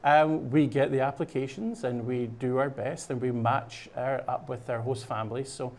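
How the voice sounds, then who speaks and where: A man's voice: mid-range voice, fairly even intonation, normal speed, darkish tone. A man from Scotland with a Scottish accent, a guest chatting casually on a local show and explaining a program with lots of fillers and restarts. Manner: rambling, many fillers and restarts. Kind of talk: casual chat. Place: studio while painting.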